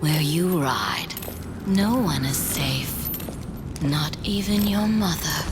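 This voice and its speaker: A scheming demon mother in a fantasy video game. Her voice is low and unhurried, silky and seductive, with a theatrical coaxing lilt over loud music. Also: animated. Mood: accusing, sly. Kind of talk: dramatic video game acting.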